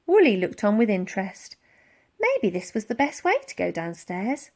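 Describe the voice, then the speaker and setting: Lively woman reading a children's story. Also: medium pitch, moderate pace. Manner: dramatic, with acted-out sound words. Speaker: audiobook reader. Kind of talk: expressive children's storybook narration.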